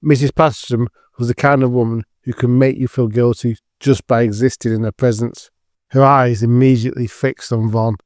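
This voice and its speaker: A man's voice: medium pitch, a little mellow, no echo, studio quality. A man giving scripted narration as the storyteller of an audio drama.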